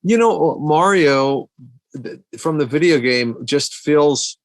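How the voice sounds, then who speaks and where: A man's voice: on the high side, expressive, slow, no echo. Man chatting casually, close and dry. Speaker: podcast guest or co-host, movie fan. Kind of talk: casual film discussion.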